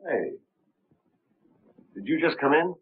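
A brusque police captain, with a rough husky voice and lively swings of pitch. It is old crime-picture acting heard through a narrow, tinny soundtrack. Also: male voice, takes it slowly, heavily acted. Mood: probing. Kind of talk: 1930s film acting. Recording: scratchy, tinny 1930s film soundtrack.

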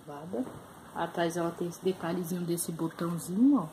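A woman's voice: fairly low pitch, fairly quick, warm tone, a little hiss or hum, rough recording. Chatty Brazilian woman showing thrift finds. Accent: Brazilian Portuguese. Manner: chatty, shows items up close, many fillers. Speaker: vlogger. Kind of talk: casual haul video chat. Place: small room indoors.

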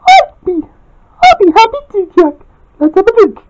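Kind lil cartoon girl, super high voice, eager helpful advice. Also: big pitch swings, normal speed, darkish tone, low-quality recording.

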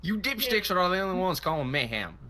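A man's voice: sits high, very animated, brisk, sharp tone, exaggerated voice. A man playing a stubborn, teasing mechanic sidekick in online game roleplay. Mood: stubborn denial. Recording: very noisy, clicking game voice chat.

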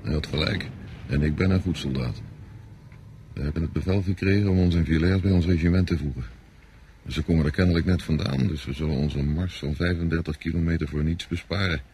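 Radio drama: seasoned soldier, low register, self-assured, cynical; clearly noisy recording. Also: male voice, brisk, dark tone. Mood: dry, self-assured. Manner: knowing, explanatory, self-assured. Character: worldly-wise, cynical male veteran soldier, unhurried. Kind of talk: Dutch radio drama acting. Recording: noisy radio play recording, outdoor ambience.

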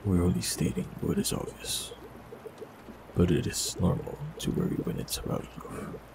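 A man voicing a warlock in an audio roleplay, talking calmly and reassuringly to the listener. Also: sits low, normal speed, gravelly, background noise. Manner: calm, gentle, reassuring.

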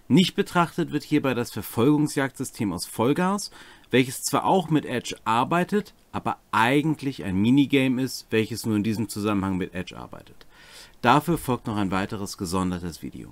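Middle-aged man with very lively pitch. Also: no room sound. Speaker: host of a tabletop game rules series. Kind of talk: explanatory presentation with casual asides. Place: small cluttered home room, indoors.

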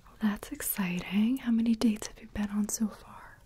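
A woman whispering softly and breathily in an ASMR roleplay as a gentle, reassuring hair stylist chatting with her client, close to the microphone. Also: medium pitch, normal speed. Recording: faint noise, small mic bumps and clicks.

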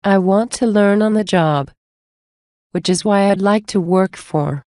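A woman reading English example phrases for a language lesson, saying many of them twice in a measured way. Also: mid-range voice, warm tone, dry recording, studio quality. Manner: measured, repeats each phrase slowly. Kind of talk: slow scripted phrase reading.

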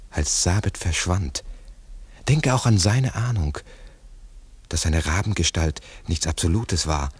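An actor playing an earnest, philosophizing investigator in a 1960s German radio play, speaking in a deliberate, explanatory way. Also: male voice, deep voice, a little mellow, slightly rough, heavily acted. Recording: 1960s mono radio recording.

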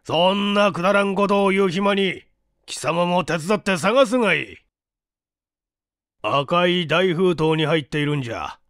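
Man playing an irascible old scientist, wildly swinging pitch. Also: expressive, measured pace, a bit bright, no room sound, studio quality, exaggerated voice. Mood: irritated, barking. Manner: barking, imperious, old-man speech. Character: irascible, domineering old male scientist, gruff and suspicious.

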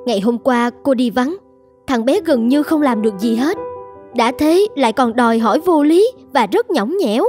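A woman's voice: high-pitched, expressive, moderate pace, darkish tone, soft background music. A woman playing a firm, polite young mother in a scripted cartoon, reasoning earnestly.

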